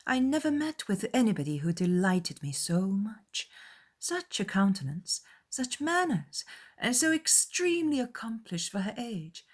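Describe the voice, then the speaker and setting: A woman's voice: medium pitch, very animated, measured pace, pitched above the performer's own voice. A woman voicing a haughty, fawning young society lady in a dramatized period novel. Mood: gushing, superior.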